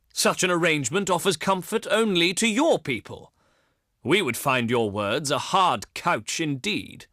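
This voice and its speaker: Pompous, animated ancient Greek diplomat. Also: male voice, on the high side, no echo, clean studio sound, full character voice. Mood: firm, dismissive. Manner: pompous, oratorical, deliberate phrasing. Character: haughty ancient Greek diplomat, pious and slyly threatening. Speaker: video game voice actor. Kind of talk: theatrical scripted game dialogue.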